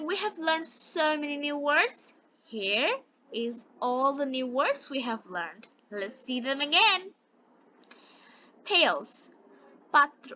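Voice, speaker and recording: A very high-voiced woman with a Bengali accent teaches English from a script. Her delivery is deliberate and lively, with big swings in pitch. The recording is dull and lo-fi. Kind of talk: scripted story lesson with vocabulary glosses.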